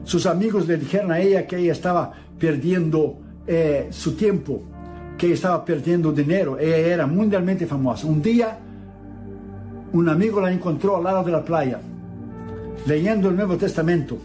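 A man's voice: on the high side, normal speed, dark tone, soft background music. A middle-aged man preaching a sermon in an earnest tone, reciting scripture in a solemn cadence. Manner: earnest preaching, reads Bible verses aloud in solemn cadence.

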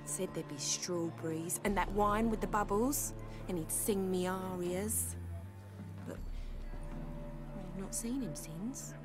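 A woman's voice: mid-range voice, slow pace, pauses often, over music, lightly acted. A woman acting a naive, gushing young woman smitten with a bard in a video game, dreamy and chatty. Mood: dreamy, guileless.

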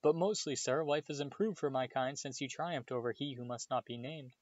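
A man doing a tiny, trembling male house elf from a storybook reading, squeaky and high, begging and fretting and tacking sir onto everything. His pitch leaps about nervously. The sound is slightly dull and dry. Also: a little fast, darkish tone, no room sound, lightly acted. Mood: anguished. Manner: squeaky, pleading, keeps saying sir. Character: small frightened male house elf, servile, tearful.

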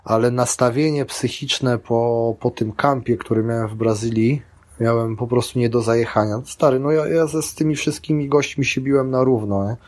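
A man's voice: mid-range voice, steady tone, moderate pace, darkish tone. A middle-aged man, a grappling athlete and coach, talking in a casual interview with many restarts and fillers.

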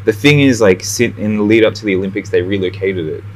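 A man in his thirties, born in India and raised in Australia, talking as a guest in a casual chat at a restaurant, animated and full of fillers. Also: fairly low pitch, fast, poor audio. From born in India, grew up in Australia. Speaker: guest artist. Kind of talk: casual conversation. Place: restaurant, indoors.

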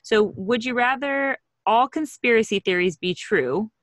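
A woman's voice: a little high, measured pace, a bit bright, dry recording. A woman hosting a podcast, asking questions in a breezy, playful way. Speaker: podcast host. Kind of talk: casual podcast conversation.

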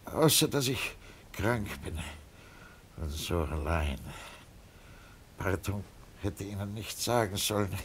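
An actor in a radio crime drama playing an anxious, evasive, sickly middle-aged father, halting and flustered as he talks. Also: deep voice, a little hiss or hum. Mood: weary, plaintive.

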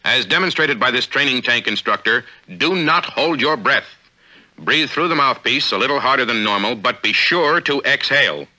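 An American man reading formal, measured, authoritative narration for a 1950s instructional film, on an old film soundtrack. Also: medium pitch, expressive, bright, forceful tone, poor audio. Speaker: narrator of a military training film.

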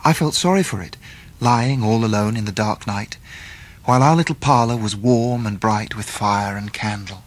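An actor plays an English gentleman looking back on his life as narrator of a radio drama. His voice is fairly low and husky, and reflective. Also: moderate pace, close to an everyday voice.

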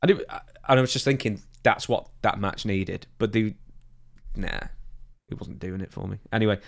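A man's voice: on the low side, normal speed, creaky. A man hosting a podcast, giving opinions in casual, informal chat. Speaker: podcast host.

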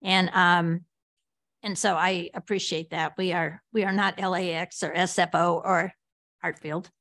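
An American woman speaking as a board director, giving measured remarks in a public meeting. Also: on the low side, flat delivery, fairly bright tone, no room sound, clean studio sound.

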